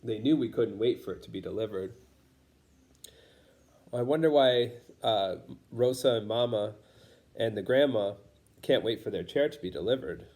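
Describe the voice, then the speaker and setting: A man's voice: on the low side, normal speed, long pauses, a little mellow. Man reading a children's picture book aloud. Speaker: storybook reader.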